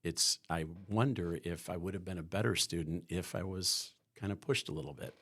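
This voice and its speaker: A man's voice: deep voice, moderate pace, fairly bright tone. A man from the Chicago area, a podcast guest from a school's staff, talking in a rambling way with many restarts. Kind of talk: conversational podcast interview.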